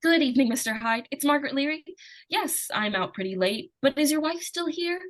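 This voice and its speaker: Woman playing a plucky high-voiced little girl. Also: animated, brisk. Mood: eager, polite. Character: plucky, anxious, insistent little girl narrating her adventure. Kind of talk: old time radio suspense acting.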